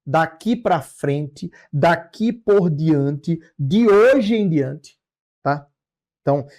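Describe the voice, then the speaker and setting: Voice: medium pitch, warm tone. A Brazilian law teacher giving an explanatory lecture, didactic, repeating and rephrasing key phrases with short check-in tags.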